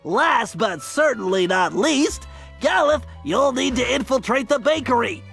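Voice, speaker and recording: Very high, creaky, nerdy turtle. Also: male voice, lively intonation, unhurried, fairly bright tone, faint music behind, heavily acted. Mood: earnest, commanding. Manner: precise, wordy, fussy briefing. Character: nerdy, earnest cartoon turtle mastermind, male, anxious strategist. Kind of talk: cartoon video game acting.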